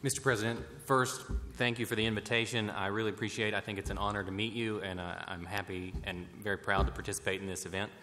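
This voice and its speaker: An American man giving formal, somewhat halting remarks at a public roundtable as a bank president. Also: fairly low pitch, steady tone, bright, forceful tone. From West Virginia, United States. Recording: echoey hall, noticeable background noise.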